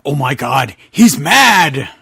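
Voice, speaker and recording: A man acts out a melodramatic monster hero from a wrestling fan fiction. The delivery is alarmed and theatrical, swinging widely in pitch with a gravelly edge. The words come slowly and with great emphasis. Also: big pitch swings, sharp tone, dry recording, studio quality, full character voice. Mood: panicked. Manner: overwrought, theatrical exclamations. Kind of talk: mock-dramatic fan fiction reading.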